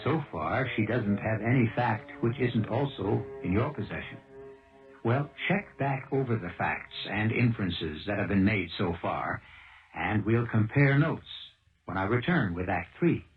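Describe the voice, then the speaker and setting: A man playing the host of a 1970s radio mystery drama, measured, rhetorical and sardonic, on a muffled old broadcast. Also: on the low side, animated, faint music behind. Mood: wry, musing. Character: wry, philosophizing male host of a mystery drama. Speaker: host and narrator.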